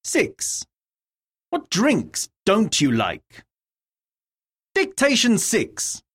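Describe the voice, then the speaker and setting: Female lesson narrator, extremely slow delivery, husky edge, pitch moving widely between deliberate scripted phrases. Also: huge pitch swings. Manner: deliberate, enunciated reading with pauses between items. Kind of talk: scripted English lesson narration, reading words and dictation.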